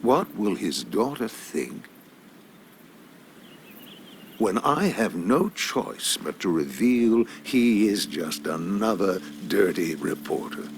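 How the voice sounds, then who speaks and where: An actor playing a smug, menacing elderly businessman in a video game, measured and silky, with theatrical pauses. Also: low voice, very animated, vocal fry, slight background noise, full character voice. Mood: coolly threatening. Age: older. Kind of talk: video game dramatic acting.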